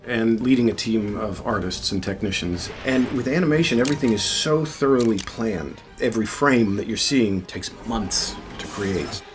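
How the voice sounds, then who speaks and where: A man's voice: lowish voice, animated, normal speed, few pauses, over music. A man giving explanatory answers in a promotional film interview. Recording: clear background noise and ambience.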